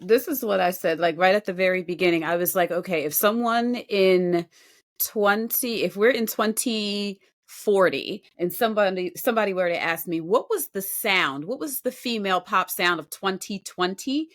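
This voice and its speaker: A woman co-hosting a music podcast, offering casual, measured and constructive commentary on singing. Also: medium pitch. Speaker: podcast co-host, vocal critic.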